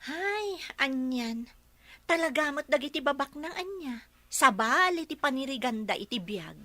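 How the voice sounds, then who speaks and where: A woman's voice: high-pitched, sing-song, measured pace, sharp tone, no echo, exaggerated voice. A woman playing an outspoken young friend who sympathises and speaks out. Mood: indignant.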